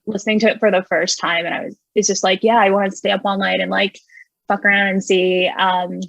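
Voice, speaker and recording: A woman's voice: mid-range voice, little pitch movement, fairly quick, vocal fry, fairly bright tone, no echo, dull audio. Chatty young woman, enthusiastic, many fillers. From Indonesia. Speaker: guest, music writer. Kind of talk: casual podcast interview.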